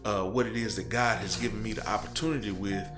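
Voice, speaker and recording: A man's voice: fairly low pitch, fairly even intonation, fast talker. Earnest man giving testimony over faint music. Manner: earnest, emphatic repetition of phrases. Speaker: interview guest. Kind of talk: personal testimony, conversational storytelling.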